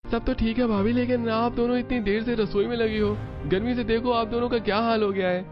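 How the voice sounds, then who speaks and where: Cartoon younger brother-in-law, eager to please, pitched well up male performer, music underneath. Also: fairly even intonation, a little fast, highs cut off. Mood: eager, placating.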